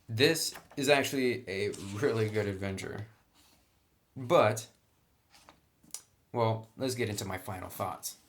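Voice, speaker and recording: Casual man reviewing a game. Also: on the low side. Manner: enthusiastic, filler-heavy, restarts and repeats "actually" and "really". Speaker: product reviewer.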